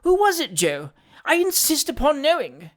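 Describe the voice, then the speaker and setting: Storybook old lady, played by a woman: high-pitched, shrill, trembling, timorous but indignant and imperious. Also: huge pitch swings, moderate pace. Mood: shocked, demanding. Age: older. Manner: exclamatory, imperious. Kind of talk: storybook character reading.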